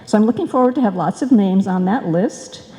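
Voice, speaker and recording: Mellow-voiced woman addressing a hall. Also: medium pitch, lively intonation, a little fast. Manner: joking, chatty asides. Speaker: director of a radio players group. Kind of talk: informal speech to a live audience. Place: indoor stage in a hall. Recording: reverberant hall, live stage event.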